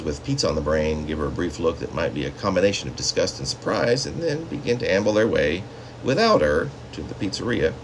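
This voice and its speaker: A man reading his own literary story as a poet, with theatrical, drawn-out phrasing and dramatic emphasis. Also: deep voice, a little hiss or hum, low-quality recording.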